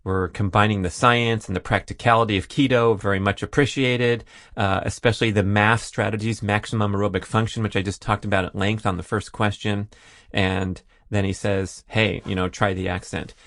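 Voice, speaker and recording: A man's voice: lowish voice, fairly even intonation, normal speed, fairly bright tone, no echo, clean studio sound. A man hosting a solo listener Q&A podcast, rambling and jokey with self-deprecating asides. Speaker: podcast host.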